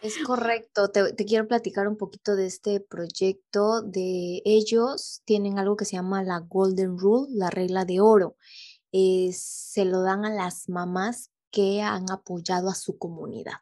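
A woman's voice: mid-range voice, steady tone, unhurried, warm tone. A Mexican woman, a guest on a remote interview, talking casually with many hesitations and little rhetorical tags.

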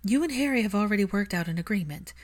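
A woman's voice: mid-range voice, animated, fast, voice pushed up, natural voice. A woman voicing a stubborn, affectionate young woman in an audiobook story, assertive and teasing. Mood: determined.

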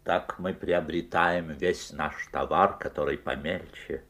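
A man's voice: rumbling low, steady tone, a little slow, creaky, fairly bright tone, exaggerated voice. An actor playing an uncanny, teasing magic-shop salesman in a radio play, with a courteous, ingratiating, theatrical delivery. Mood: sly, matter-of-fact.

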